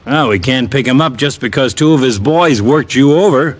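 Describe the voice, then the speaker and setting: An American actor playing a businesslike, friendly police lieutenant in an old-time radio detective drama, plain-spoken and informal. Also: male voice, medium pitch, normal speed, natural voice. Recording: old radio recording, faint noise.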